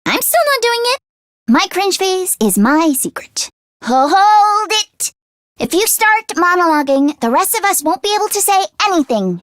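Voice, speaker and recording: A woman voicing an anxious, earnest teenage girl mechanic in a video game, with an expressive, hesitant delivery. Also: very high voice, unhurried, dry recording, clean studio sound, exaggerated voice. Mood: exasperated, firm. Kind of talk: English video game voice acting, isolated voice lines.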